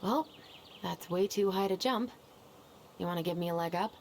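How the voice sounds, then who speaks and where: A woman's voice: on the low side, normal speed, darkish tone, close to an everyday voice. A woman playing a caring, earnest young twin sister in a video game, with an American accent and naturalistic delivery. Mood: curious, playful. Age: young adult. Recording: game audio with clear background noise.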